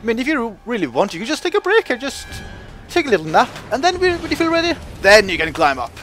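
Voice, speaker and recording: A man's voice: extremely high pitch, huge pitch swings, fast talker, a bit bright, faint music behind. A man giving casual commentary over game footage, talking in a chatty way with lots of fillers and voice jumps when joking. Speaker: gaming video host.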